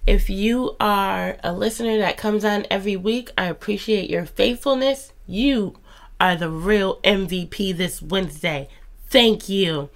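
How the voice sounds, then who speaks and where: A woman in her thirties hosts a faith podcast, stuffy and congested from a cold. She talks slowly and unhurriedly, in a chatty, encouraging tone. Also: mid-range voice. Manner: warm, chatty and encouraging, with soft laughs. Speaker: podcast host. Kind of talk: casual faith podcast monologue.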